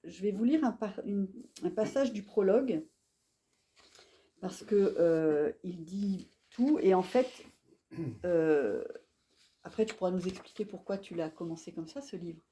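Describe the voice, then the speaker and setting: A woman's voice: fairly low pitch, expressive, unhurried, dark tone. A woman hosting a live author event in a bookshop, presenting a novel with enthusiastic, admiring remarks. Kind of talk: live book event introduction.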